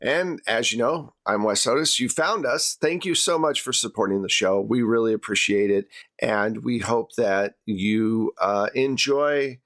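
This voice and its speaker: A man's voice: medium pitch, measured pace, fairly bright tone. A man speaking as game master and host of a tabletop game, narrating and explaining off the cuff in a casual way.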